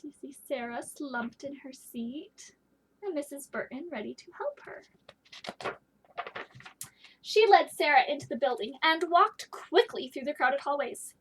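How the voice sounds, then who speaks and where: A woman teacher reading a story aloud to children, pausing to put questions to her listeners. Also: sits high, expressive, fairly bright tone, a little hiss or hum, rough recording.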